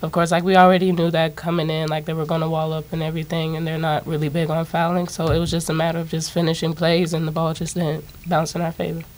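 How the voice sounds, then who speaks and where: A woman's voice: low voice, level tone, quick pace, few pauses, darkish tone. Young woman athlete, level and plain. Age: young adult. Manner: casual, plain-spoken with "like". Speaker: college basketball player. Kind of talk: postgame press conference answers. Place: press conference room, seated at table. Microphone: table mic on stand.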